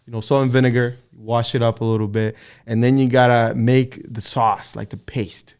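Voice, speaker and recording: A man in his thirties from the Dominican Republic, a guest telling stories in a relaxed, easygoing way. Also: medium pitch, normal speed, smooth. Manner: relaxed, easygoing storyteller with many fillers. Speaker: guest, firefighter. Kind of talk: casual interview chat.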